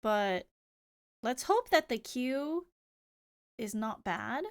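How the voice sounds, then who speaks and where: A woman's voice: a little high, expressive, very slow, clean tone. A woman live-streaming a game, chatting casually in a playful, rambling manner. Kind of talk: casual livestream chat, reading game dialogue aloud.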